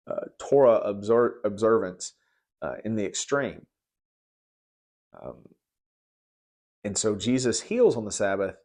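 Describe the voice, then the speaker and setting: A man teaches from the Bible in an informal, conversational style. His voice is on the low side, and he speaks haltingly, repeating words as he explains. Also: darkish tone. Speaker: preacher giving Bible commentary.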